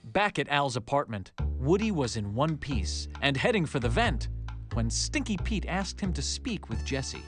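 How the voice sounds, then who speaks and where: A man narrating a scripted children's read-along story in an expressive, animated storytelling manner. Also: mid-range voice, moderate pace. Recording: music behind the narration.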